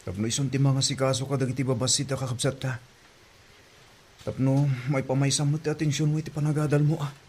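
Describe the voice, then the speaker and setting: Man playing a husky, concerned Ilocano big brother. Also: medium pitch, level tone, quick pace, darkish tone. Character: concerned, protective older teenage brother. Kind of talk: Ilocano audio drama acting.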